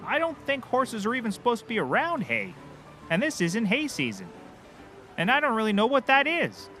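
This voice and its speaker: A hapless, self-important male private eye played by a comic actor speaks in a high, bright voice with wildly swinging pitch. He is eager and theatrical, as in a comedy series. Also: big pitch swings, normal speed, soft background music, heavily acted. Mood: self-important instructing. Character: hapless, overconfident, goofily enthusiastic male private detective. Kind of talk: scripted comedy acting.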